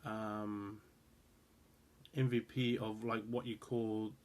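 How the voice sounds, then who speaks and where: A man's voice: on the low side, flat delivery, slow, noisy background. A man in his thirties with a New Zealand accent, giving his opinion in casual talk to the camera, rambling with frequent fillers and restarts. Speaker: rugby show host.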